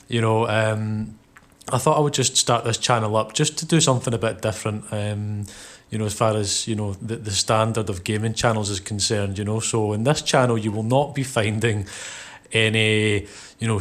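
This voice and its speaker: A man's voice: lowish voice, fairly bright tone. A Scottish man in his thirties hosting his gaming channel, talking loosely and easily with plenty of fillers as he plays. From Scotland. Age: 30s. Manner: rambling, lots of "you know" and "um" fillers; laid-back, easygoing. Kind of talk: casual gameplay commentary.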